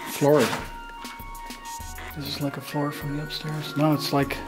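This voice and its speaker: A middle-aged man who is not an English speaker, talking in a halting way and thinking aloud as he walks through an abandoned house on a handheld camera mic. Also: a little high, completely flat delivery. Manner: halting, thinking aloud, drops to a hushed whisper at times. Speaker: urban explorer host. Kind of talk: casual walkthrough commentary. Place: large abandoned house, indoors. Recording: echoey empty rooms, music underneath.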